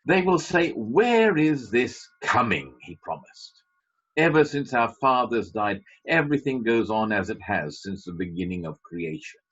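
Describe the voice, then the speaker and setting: A man's voice: mid-range voice, big pitch swings, unhurried, a bit bright, husky. A man preaching a sermon as a pastor, emphatic, with wry asides. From Britain. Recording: dull lo-fi sermon recording.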